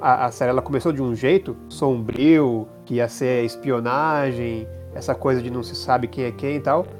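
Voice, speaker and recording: Male podcast host, mid voice, casual and halting, restarts often. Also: medium pitch, steady tone, faint music behind. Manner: thinking aloud, many restarts. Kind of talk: casual chat.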